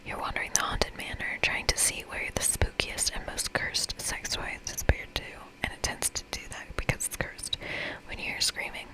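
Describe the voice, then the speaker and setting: A woman whispering softly as an ASMR host, reading a game aloud expressively. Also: normal speed, poor audio. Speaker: ASMR host playing a visual novel game.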